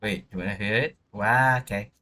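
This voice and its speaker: A man's voice: on the low side, moderate pace, bright, forceful tone. A man reacting casually while streaming a video game live.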